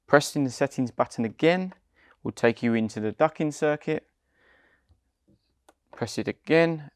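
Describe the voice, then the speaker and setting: A British man, around his forties, giving scripted step-by-step product instructions in a measured, deliberate way. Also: mid-range voice, no echo, clean studio sound. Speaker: product demonstrator.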